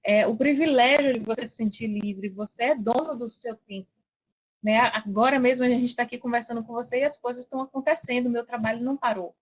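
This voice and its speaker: A woman's voice: a little high, fast talker, lo-fi sound. A woman in her forties with a Brazilian accent, talking casually and motivationally as a podcast guest, earnest and emphatic, often repeating phrases and tagging questions on the end. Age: 40s. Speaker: podcast guest, affiliate marketing coach. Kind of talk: casual motivational interview talk.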